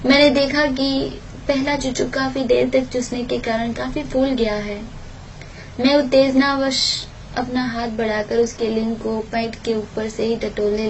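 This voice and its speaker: A woman's voice: on the high side, a little mellow. A woman reading a first-person erotic audio story aloud, occasionally stumbling and repeating words, on a noisy home-style recording.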